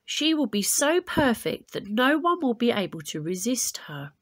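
A woman's voice: a little high, big pitch swings, moderate pace, pitched above the performer's own voice. Woman playing angry male god, storybook villain, indignant, emphatic, vengeful. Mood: scheming. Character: livid, vengeful male king of the gods.

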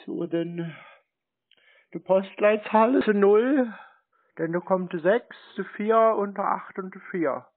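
A man playing a naive, eager, rambling provincial German fellow on a prank phone call. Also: sits high. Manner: naive, rambling, feigned slowness. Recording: narrow, tinny phone-like sound.

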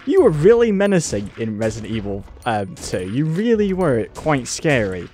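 A man's voice: mid-range voice, big pitch swings, normal speed, dark tone, slight background noise. A man with a British accent giving casual, wry commentary while playing a game and laughing at it. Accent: British English. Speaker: let's play host.

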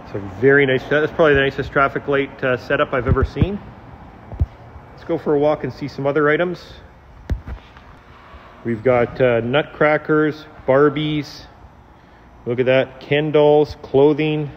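A man giving a casual, unscripted walkthrough as an auctioneer, with an enthusiastic salesman's pitch, in a large indoor warehouse. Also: lo-fi sound, slight background noise. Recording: reverberant large hall.

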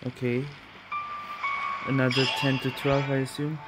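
A woman streaming a game with casual, low-key remarks. Her voice is very deep and slow, with prominent game music behind it. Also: little pitch movement. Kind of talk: casual gameplay commentary.

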